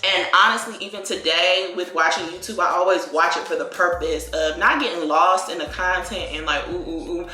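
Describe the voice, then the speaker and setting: A young woman from Virginia talks through her life story in easy, rambling chat, filling it with likes and y'alls. Her voice sits a bit low but sounds very bright. The room echoes around her, with soft music playing underneath. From Virginia, USA. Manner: chatty, drawn-out phrases, lots of likes and y'all. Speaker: beauty vlogger. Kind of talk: casual get-ready-with-me chat.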